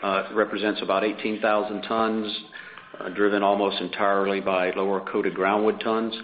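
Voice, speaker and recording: A man's voice: fairly low pitch, flat delivery, moderate pace, slight background noise. A man speaking as a finance executive, giving a formal, businesslike scripted earnings presentation over a conference phone line. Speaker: chief financial officer presenting results. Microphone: conference call phone line.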